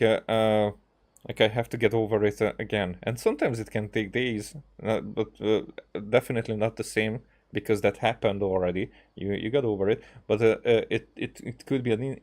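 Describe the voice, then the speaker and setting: A man with a fairly low voice chats through a video game. He speaks off the cuff and haltingly, often circling back over his own words as he rambles. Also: a little mellow. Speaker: gaming streamer playing and commenting on a game. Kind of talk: casual unscripted game commentary.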